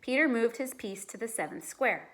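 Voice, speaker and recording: A woman narrating a children's storybook like a teacher reading aloud, with dramatic, deliberate emphasis. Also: fairly high pitch, animated, bright, forceful tone.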